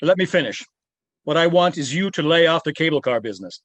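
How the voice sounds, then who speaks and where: An actor playing a threatening, commanding mystery caller in a 1950s-style radio detective drama. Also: male voice, on the high side, expressive, brisk, a bit bright, no room sound. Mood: menacing. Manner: curt, commanding. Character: menacing male mystery caller, threatening extortionist.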